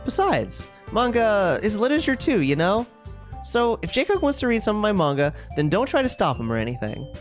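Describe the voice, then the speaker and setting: A woman's voice: mid-range voice, very animated, brisk, soft background music, close to an everyday voice. Woman as feisty, stammering, defensive teenage girl. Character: feisty, easily flustered manga-loving teenage girl.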